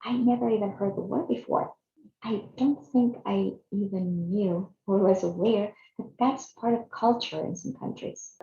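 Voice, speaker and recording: A woman's voice: medium pitch, unhurried, gravelly, dark tone. A woman in her fifties from Chile, earnestly narrating a personal video series she hosts. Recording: echoey, slightly dull room sound.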